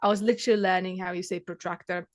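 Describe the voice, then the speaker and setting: A woman's voice: fairly low pitch, fairly even intonation, quick pace. Chatty Czech-born woman tutor. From Czech Republic. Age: 30s. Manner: chatty, many fillers and restarts, laughs often. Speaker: maths tutor. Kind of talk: casual interview chat.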